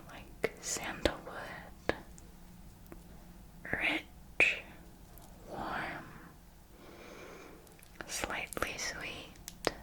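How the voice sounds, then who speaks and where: A woman voicing a seductive, predatory female vampire mentor in a breathy whispered ASMR roleplay, with lingering, deliberate phrasing. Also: medium pitch. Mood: hushed, sinister relish.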